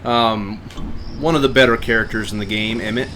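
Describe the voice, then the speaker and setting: A man's voice: on the low side, fairly even intonation, normal speed, background noise. A man giving casual playthrough commentary as a video game streamer. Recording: game music behind the voice. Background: video game audio.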